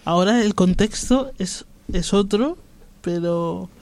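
A man's voice: high voice, slow, a little mellow. A man speaking reflectively in a group discussion, often restarting his sentences. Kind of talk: discussion about psychiatry.

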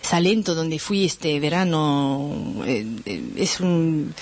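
Halting woman with a French accent. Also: deep voice, animated, a little mellow. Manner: halting, searching for words, self-correcting. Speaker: presenter sharing a reading. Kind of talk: informal spoken presentation.

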